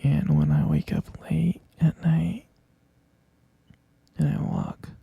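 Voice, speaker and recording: A hesitant, concerned middle-aged stepfather, acted by a man in an audio roleplay. He speaks slowly in a half-whisper, halting between phrases. The voice is very dark and full of gravelly creak. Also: fairly high pitch, sing-song, no echo, exaggerated voice. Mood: hushed concern. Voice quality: breathy, gravelly. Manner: halting, hushed, drawn-out delivery. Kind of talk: scripted ASMR roleplay.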